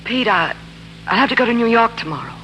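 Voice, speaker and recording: A woman's voice: on the high side, big pitch swings, fast talker, a bit bright, faint music behind. An actress playing a spirited, independent woman, tender but firm, direct and emotional in 1940s radio drama style. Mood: bright, affectionate. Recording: noisy, humming 1940s radio recording.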